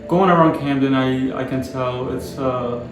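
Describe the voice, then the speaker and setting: Young man from the Dominican Republic, 20s; measured, even delivery, very roomy echo and music under. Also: medium pitch. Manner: measured and explanatory. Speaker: planning graduate and local resident. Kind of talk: documentary interview. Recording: very echoey room with music behind.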